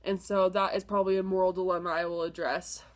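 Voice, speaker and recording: A woman's voice: on the low side, level tone, fast talker. A young woman with a stuffed-up nose from a cold, casually talking through a lesson plan with many fillers and restarts. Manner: chatty, filler-heavy, apologetic restarts. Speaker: student presenting a lesson plan. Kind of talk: casual spoken presentation.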